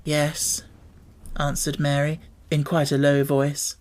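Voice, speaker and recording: A woman's voice: low-pitched, steady tone, normal speed, a little mellow. A woman reading a scripted story as an audiobook narrator.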